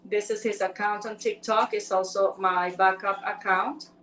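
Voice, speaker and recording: A woman's voice: medium pitch, level tone, moderate pace, fairly bright tone, distant mic. A woman from Ecuador with a Spanish accent, chatting playfully with viewers while co-hosting a live stream. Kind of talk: casual live-stream chat. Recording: roomy sound from away from the mic.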